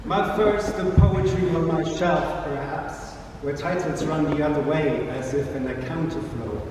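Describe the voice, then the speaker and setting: A man's voice: fairly high pitch, measured pace. A man whose first language is German, reading poems aloud at a live event in a church. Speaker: poet. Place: large echoey church hall. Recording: echoey church hall, distant mic, audible room noise.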